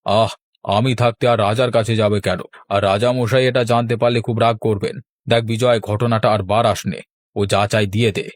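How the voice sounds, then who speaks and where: A man's voice: lowish voice, steady tone, a little fast, no room sound, clean studio sound, natural voice. A man voicing an aggrieved, demanding village man in a cartoon. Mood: placating. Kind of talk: Bengali cartoon voice acting.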